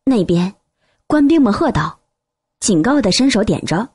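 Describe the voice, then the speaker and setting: A woman reading a novel aloud as an expressive, scripted audiobook narrator. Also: mid-range voice, moderate pace, slightly rough, no echo, studio quality.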